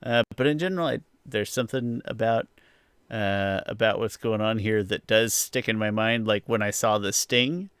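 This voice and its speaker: A man's voice: lowish voice, moderate pace, fairly bright tone, clear, resonant tone, dry recording. Casual male podcast host, close dry sound. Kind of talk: casual podcast review chat.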